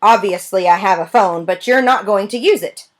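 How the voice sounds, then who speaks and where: A woman reading aloud, voicing a gruff middle-aged female motel clerk who is curt and dismissive. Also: mid-range voice, moderate pace, lightly acted.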